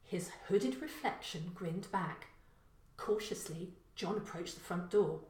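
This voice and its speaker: A woman reading a short crime story as scripted narration, with deliberate, careful phrasing. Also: on the low side, expressive, darkish tone, recorded from a distance. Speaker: author reading own story. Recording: echoey room.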